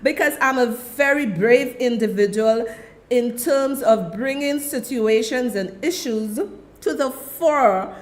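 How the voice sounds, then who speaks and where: A woman with a Trinidadian accent hosting her own radio talk show, chatting directly to her listeners into a studio mic. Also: fairly high pitch. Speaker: radio and web show host.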